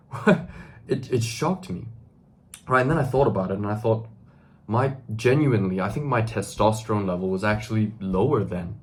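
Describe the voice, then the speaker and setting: A young man in his twenties with a very low, deep voice talks straight to the camera. He speaks earnestly and emphatically, often tagging his sentences with "right?" and pausing on fillers. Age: 20s. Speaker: self-improvement video host. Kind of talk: direct-to-camera monologue.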